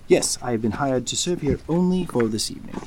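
A man's voice: medium pitch, lively intonation, brisk, a little mellow, a little hiss or hum, lightly acted. A man playing a polite, discreet butler in a radio drama, speaking in a formal, deferential manner. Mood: deferential, guarded. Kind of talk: golden-age radio drama acting.

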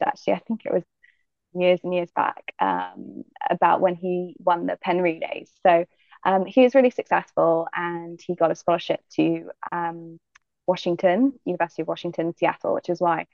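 A young woman from Jamaica with a low, gravelly voice talks through her answers in a relaxed, thoughtful way, pausing on frequent fillers. The sound is dry and a little dull. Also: no room sound. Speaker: guest athlete. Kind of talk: interview answers.

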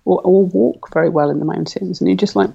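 A woman's voice: lowish voice, brisk, vocal fry, dark tone, husky, lo-fi sound. A woman in her forties, a guest athlete, talking casually in an interview and thinking aloud with lots of fillers. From Britain. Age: 40s.

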